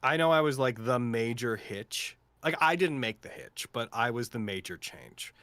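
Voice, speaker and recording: A man who works as a voice actor, talking casually and reflectively in a talkative, expressive way. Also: mid-range voice, normal speed, no echo. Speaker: voice actor in an audio drama. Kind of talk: casual reflective cast chat.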